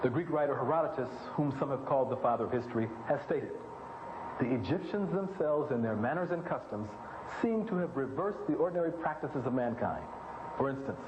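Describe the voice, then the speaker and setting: Guy giving a formal scripted talk, emphatic, echoey room with loud background noise. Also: medium pitch. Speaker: presenter. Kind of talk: scripted lecture-like narration. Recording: roomy, noisy, dull lo-fi audio.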